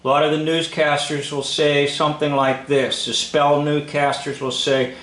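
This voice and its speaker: A man's voice: on the high side, flat delivery, moderate pace, a bit bright. A man giving informal, sceptical commentary on an old film, instructing in short emphatic phrases. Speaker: video commentator. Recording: echoey room.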